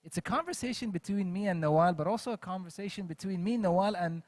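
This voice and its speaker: Male panel host, high voice, dry close sound, enthusiastic. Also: quick pace, no echo. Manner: enthusiastic, warm welcome to audience. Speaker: moderator. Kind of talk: book fair panel hosting. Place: event stage.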